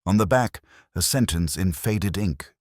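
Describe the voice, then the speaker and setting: A man playing an ageing, wry, brooding detective inspector who narrates a scripted mystery in measured, literary phrases. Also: low-pitched, animated, a little mellow, no room sound, clean studio sound. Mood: brooding, reflective.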